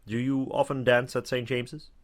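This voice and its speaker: An actor playing a genial, talkative older knight in a dramatized audiobook, speaking in an effusive, courtly way. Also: male voice, medium pitch, flat delivery, moderate pace, close to an everyday voice. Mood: sociable.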